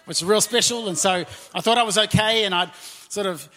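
Nieuw-Zeelandse dominee, wat hoge stem. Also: male voice, high voice, a little fast. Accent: New Zealand. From New Zealand. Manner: warm, conversational preaching with frequent "and, uh" fillers. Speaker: pastor. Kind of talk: sermon. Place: church auditorium.

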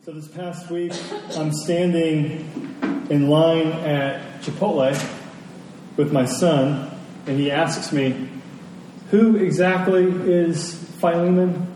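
A man preaching a storytelling sermon to a congregation, deliberate, with dramatic pauses and emphasis. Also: on the high side, steady tone, warm tone. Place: large indoor church space. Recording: very echoey hall, distant mic, faint noise.

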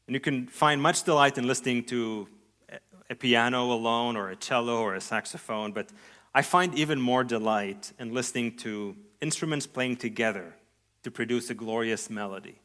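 A man preaching a sermon in an earnest, measured way, his voice rising on key phrases and trailing off softly at the ends of thoughts. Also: mid-range voice. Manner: earnest, measured preaching with sweeping rises and soft trailing endings. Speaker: pastor.

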